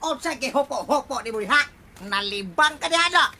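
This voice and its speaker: Forceful, blustering village captain. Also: male voice, very high voice, expressive, fairly quick, faint music behind, heavily acted. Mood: suspicious. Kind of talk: broad comic radio skit acting.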